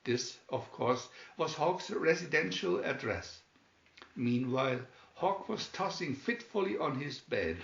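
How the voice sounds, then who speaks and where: A man narrates a storybook at a slow, deliberate pace with a dramatic touch. His voice sits at an average pitch. The recording is dull and echoes in the room. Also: lively intonation, creaky, fairly bright tone. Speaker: storybook reader.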